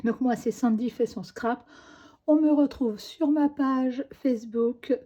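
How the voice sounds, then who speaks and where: A woman's voice: fairly high pitch, warm tone, breathy edge, dull audio. A woman with a cold, coughing now and then, chatting her way through a crafting tutorial with little fillers as she works. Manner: chatty, fillers like "hop" and "euh" while working. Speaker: crafting demonstrator.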